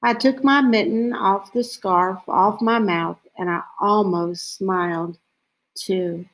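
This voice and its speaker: A woman reading a children's story aloud in a deliberate way, with frequent pauses between short phrases. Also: mid-range voice, steady tone, poor audio. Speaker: storybook reader.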